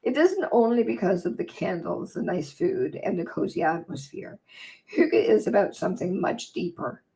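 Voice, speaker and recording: A woman from Denmark hosting a casual livestream and reading aloud from her own book, her voice croaky and hoarse from a long day of talking, chatty and easily sidetracked. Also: on the low side, very animated, normal speed, warm tone, rough recording. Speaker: vlog host and author.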